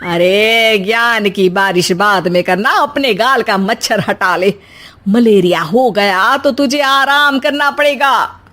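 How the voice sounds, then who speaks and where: A woman's voice: a little high, slow, a bit bright. A woman voicing an exasperated, sarcastic middle-aged mother-in-law in a cartoon comedy, scolding in a wry, colloquial way.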